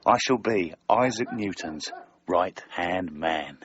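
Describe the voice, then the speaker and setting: An actor playing a scheming, boastful master counterfeiter in a period radio drama, gloating and swaggering. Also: male voice, sits low, animated, slow pace, fairly bright tone, lo-fi sound, heavily acted.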